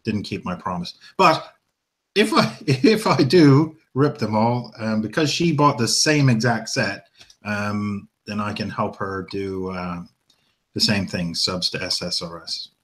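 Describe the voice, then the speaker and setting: A man with a fairly low voice chats loosely as a co-host, circling his points with plenty of ums and restarts. He talks in an unhurried, self-deprecating way, as though thinking aloud. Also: expressive. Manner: rambling, hesitant, self-deprecating, many ums. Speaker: podcast co-host. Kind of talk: casual chat.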